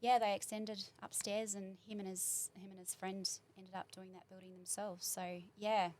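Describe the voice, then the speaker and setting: A woman in her forties with a New Zealand accent chats as a guest on a small studio podcast. She talks warmly and rambles as she recalls things, with plenty of casual fillers. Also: mid-range voice, level tone, normal speed, a little hiss or hum. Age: 40s. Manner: warm, chatty, rambling recollection. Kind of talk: casual interview chat.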